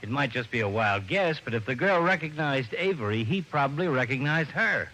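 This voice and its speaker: An actor playing a gruff, good-natured, doubting veteran police partner with a grumbling, exclamatory manner in an old-time radio detective drama. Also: male voice, medium pitch, animated, a little fast, sharp tone, slight background noise, rough recording. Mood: eager, reporting.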